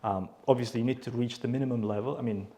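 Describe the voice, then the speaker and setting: A man's voice: medium pitch, fairly even intonation, quick pace, dark tone. A man with an Uzbek accent explaining things as a teacher in an interview. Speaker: IELTS teacher, interview guest.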